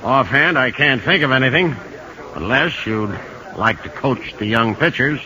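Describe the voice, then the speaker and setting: An actor playing a curt, bossy, dryly teasing baseball manager in a 1950s radio drama. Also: male voice, medium pitch, expressive, unhurried, bright, forceful tone. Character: brusque, teasing male baseball team manager. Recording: noisy old radio recording.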